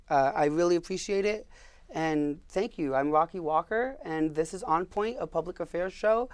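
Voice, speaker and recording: A young man hosting a public affairs interview show, relaxed and loose with fillers and repeated words. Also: a little high, dry recording. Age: young adult. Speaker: host.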